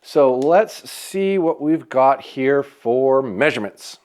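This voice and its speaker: A man with an upbeat, hands-on delivery, talking through numbers as he measures gear. His voice sits at an ordinary middle pitch and carries loudly. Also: lively intonation, slow pace. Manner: says he has a loud voice. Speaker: bow shop reviewer. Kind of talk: casual hands-on product testing.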